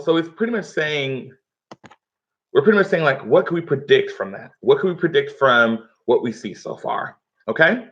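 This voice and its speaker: A man teaching a live online reading lesson from his living room, speaking instructively and often checking in with his pupils. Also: medium pitch. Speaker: schoolteacher. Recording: dull, lo-fi livestream audio.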